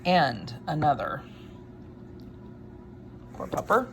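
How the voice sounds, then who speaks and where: A woman reading a picture book aloud in an expressive, unhurried way, with wry, casual asides. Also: low-pitched, gravelly, slight background noise, poor audio.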